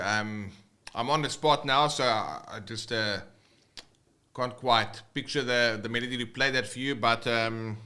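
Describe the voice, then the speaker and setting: Casual South African male guitar teacher, bright tone, loose and rambling on a livestream. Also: medium pitch. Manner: loose and rambling, many fillers and restarts.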